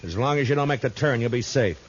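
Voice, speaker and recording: An actor playing a calm, stern, world-weary frontier marshal with a terse, dry delivery, in 1950s radio drama style. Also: male voice, mid-range voice, fast talker, husky. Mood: steady, commanding. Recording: scratchy, muffled 1950s radio recording.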